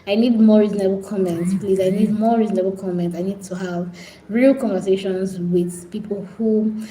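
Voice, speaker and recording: A woman's voice: medium pitch, fairly even intonation, normal speed, dark tone, echoey room, low-quality recording. A Nigerian woman hosting a casual live stream, pleading and repetitive as she talks straight to her viewers.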